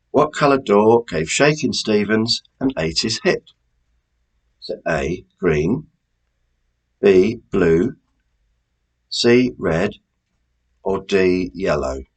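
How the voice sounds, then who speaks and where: A man hosting a quiz, reading out questions and answers in a deliberate, measured way with informal asides. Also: low voice, slightly rough, rough recording. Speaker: quiz host.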